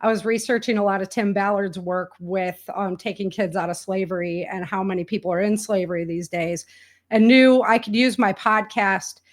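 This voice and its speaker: A woman's voice: medium pitch, steady tone, normal speed. A woman speaking as an enthusiastic, chatty interview guest, in a casual conversational style. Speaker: interview guest, indie author and podcaster.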